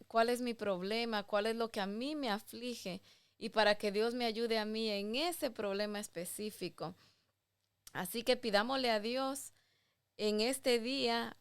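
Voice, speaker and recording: A woman's voice: on the high side, clean tone. A woman giving an earnest devotional talk and inviting listeners to pray.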